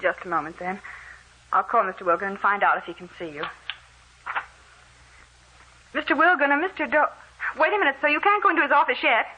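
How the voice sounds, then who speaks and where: An excitable, formal receptionist in an old radio play. The voice is high and sharp, over a faintly hissy vintage recording. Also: female voice, fast, rough recording. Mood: businesslike. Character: prim, excitable office receptionist. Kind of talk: 1940s radio drama acting.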